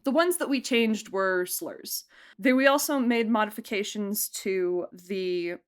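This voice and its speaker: Woman, medium pitch, casual interview talk, informal, animated, emphatic delivery. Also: measured pace, clear, resonant tone. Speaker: podcast producer and director being interviewed.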